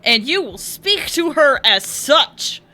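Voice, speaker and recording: A young woman streaming a game, chatting casually and gushing excitedly. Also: sits high, slow pace, faint music behind. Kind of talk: casual gameplay commentary.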